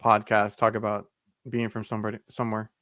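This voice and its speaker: 30s guy hosting, smooth voice, kinda flat, lots of uh's. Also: lowish voice, fairly quick, no room sound, clean studio sound. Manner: friendly, full of fillers and restarts. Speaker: podcast host, HVAC technician. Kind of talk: casual interview talk.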